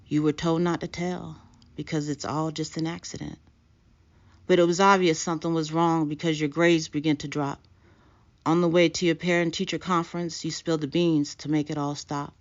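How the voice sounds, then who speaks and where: Woman reading as a calm, foreboding blind fortune-teller, lo-fi. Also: deep voice, moderate pace. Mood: solemn foretelling. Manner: calm, solemn, oracular. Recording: dull lo-fi recording.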